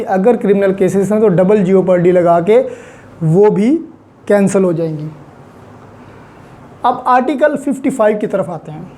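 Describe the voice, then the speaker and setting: A law lecturer with a Pakistani accent explains terms deliberately, repeating them and mixing in English legal vocabulary. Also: medium pitch, mellow.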